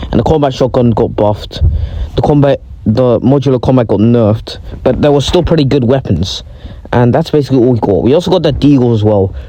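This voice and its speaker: A young man who is ill and sounds deeper than usual, talking casually and excitedly about a video game, often correcting himself. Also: rough recording. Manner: rambling, excitable, self-correcting. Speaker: gaming video creator. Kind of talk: casual gaming commentary.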